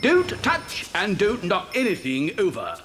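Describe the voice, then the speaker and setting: A high-pitched, gleeful and eccentric factory owner, stammering and chuckling as he shows off. His delivery is theatrical and swings wildly in pitch, in a children's audio play. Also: huge pitch swings, a little slow, a bit bright, full character voice. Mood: brisk, proud. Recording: noisy old cassette recording.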